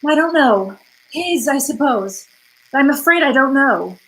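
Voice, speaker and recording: A high-voiced femme fatale, acted by a woman from an old-time radio mystery. She is fluttery and hesitant, pleading with melodramatic helplessness that hides a manipulative streak. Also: lively intonation, measured pace, fairly bright tone, rough recording. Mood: anxious, evasive.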